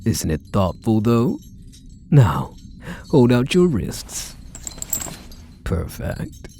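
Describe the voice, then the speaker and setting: A man's voice: medium pitch, big pitch swings, extremely slow, mellow, slight background noise, full character voice. A man playing a possessive, doting kidnapper husband in an intimate ASMR roleplay, cooing and coaxing with pet names. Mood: calm, controlling. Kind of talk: ASMR roleplay audio drama, intimate monologue to the listener.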